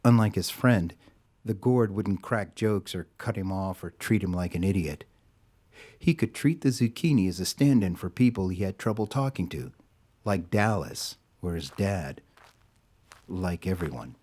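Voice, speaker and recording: Low-voiced man narrating an audio drama. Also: lively intonation, darkish tone. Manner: measured literary storytelling.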